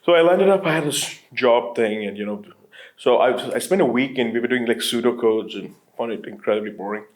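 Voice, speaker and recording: A man's voice: medium pitch, brisk. A man in his late thirties from Qatar, a guest on an interview show, talking casually with lots of fillers, restarts and easy laughs. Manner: rambling, many restarts and fillers, laughs easily. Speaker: entrepreneur guest.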